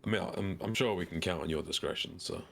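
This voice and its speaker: A man with a gravelly voice chatting casually in character as a player in a tabletop roleplaying game. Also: sits low, fast, a bit bright.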